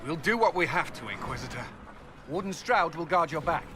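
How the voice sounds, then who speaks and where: An actor playing a brisk military commander giving a battle briefing in a dramatic video game. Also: male voice, a little high, expressive, pushed and bright, a little hiss or hum. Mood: urgent, resolute. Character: brisk male army commander briefing his leader.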